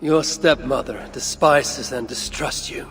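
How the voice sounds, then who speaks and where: An actor playing a shrewd, gruff Norse god in a game cutscene, speaking in a deliberate, sardonic, investigative manner. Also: male voice, mid-range voice, fairly bright tone, slight background noise. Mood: cold, pointed. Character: shrewd Norse god searching for his son, gruff and resolute. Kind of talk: dramatic game cutscene acting.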